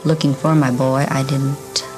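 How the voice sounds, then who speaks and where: A woman's voice: rumbling low, little pitch movement, a little slow. A woman recounting her memories in a 1950s radio interview. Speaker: interviewed mother. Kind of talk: recollection in interview. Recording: 1950s radio tape, faint music.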